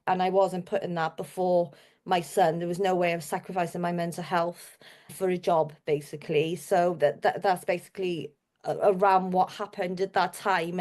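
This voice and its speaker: A woman's voice: fairly low pitch, darkish tone. Chatty Welsh woman telling her story. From Wales. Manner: chatty, rambling, repeats herself. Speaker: podcast guest, business owner. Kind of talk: personal storytelling in an interview.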